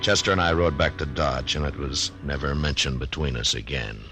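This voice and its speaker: An actor playing a weary, grave, laconic frontier lawman in a measured, understated 1950s radio drama style. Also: extremely low pitch. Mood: grave, reflective. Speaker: lead actor and narrator. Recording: old 1950s radio recording, music behind.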